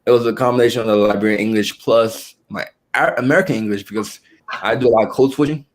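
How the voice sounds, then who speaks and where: Halting young man, lowish voice. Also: faint music behind. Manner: halting, with frequent restarts and fillers. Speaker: guest. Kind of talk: casual conversational interview.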